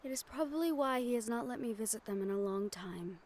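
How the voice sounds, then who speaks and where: A woman voicing a gentle, wistful young noblewoman in a romantic fantasy audio roleplay, speaking softly with formal, measured diction and tender pauses. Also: a little high, lively intonation. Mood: earnest.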